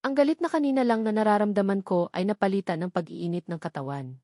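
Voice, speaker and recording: A woman's voice: medium pitch, fast talker, a little mellow, dry recording. Woman narrating a novel, very dry sound. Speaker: audiobook narrator. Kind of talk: scripted first-person romance novel narration.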